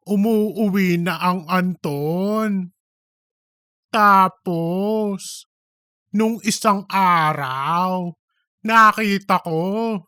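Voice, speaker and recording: A man voicing a theatrical office gossip who spins absurd tales in drawn-out style for a comic audiobook. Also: high-pitched, pushed and bright, smooth, no room sound, studio quality, exaggerated voice. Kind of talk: scripted comic audiobook dialogue.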